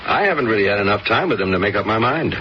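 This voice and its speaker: A man's voice: on the low side, expressive, very fast, close to an everyday voice. An actor as a mild, worried widowed father. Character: middle-aged father, gentle, worried widower. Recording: dull old radio recording with faint hiss.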